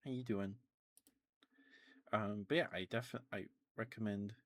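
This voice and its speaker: A man streaming an ASMR session, rambling softly and chatting with his viewers in a relaxed way. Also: lowish voice, a little slow, no room sound, studio quality. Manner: soft rambling, talks to chat.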